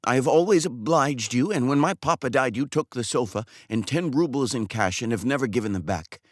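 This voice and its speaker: A man doing a lovesick young barber, timid, tearful, stammering, pitched up high, swooping delivery, dry close sound. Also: lively intonation, no echo, natural voice. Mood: pleading. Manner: pleading, stammering, quick to despair.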